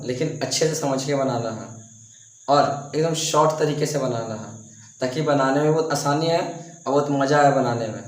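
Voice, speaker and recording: A young man teaching math on a board, speaking softly with a sore throat, coughing and restarting his sentences. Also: a little high. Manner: repeats and restarts himself, coughs now and then. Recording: echoey room.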